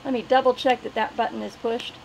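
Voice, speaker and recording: Middle aged lady webinar host, pretty high voice, doing announcements, slight background noise. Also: steady tone, fast, gravelly, poor audio. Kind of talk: online webinar hosting, announcements and housekeeping.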